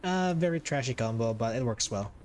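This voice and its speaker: A man's voice: mid-range voice, lively intonation, brisk, a little mellow, slight background noise. A man giving casual, slangy, sometimes profane commentary as he streams a video game. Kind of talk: casual gameplay reaction commentary. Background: game music.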